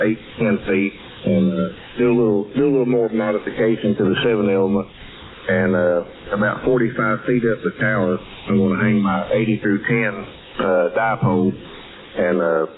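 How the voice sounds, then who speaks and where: An American man from West Virginia chatting casually over two-way radio in a rambling, folksy way with plenty of radio slang. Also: sits low. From West Virginia, United States. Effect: two-way radio transmission. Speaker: CB and amateur radio operator. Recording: tinny narrowband radio, crackly chatter behind.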